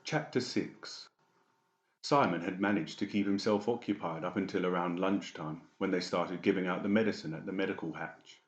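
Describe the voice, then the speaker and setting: Casting: male audiobook narrator, very low voice, echoey and slightly muffled recording. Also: normal speed. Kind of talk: scripted fiction narration. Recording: dull, echoey room recording.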